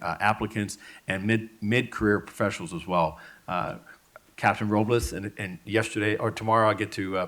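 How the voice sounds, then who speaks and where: A man's voice: lowish voice, a bit bright. A man, the police chief, giving formal remarks at a public meeting with frequent restarts.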